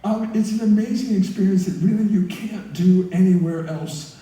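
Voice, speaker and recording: A man with a high but very soft, dark-toned voice gives earnest, enthusiastic interview answers. He is heard from a distance in a heavily reverberant hall. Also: fairly even intonation, moderate pace. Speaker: guest, listener group founder. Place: heard through theatre speakers by live link. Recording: very echoey hall, far from mic.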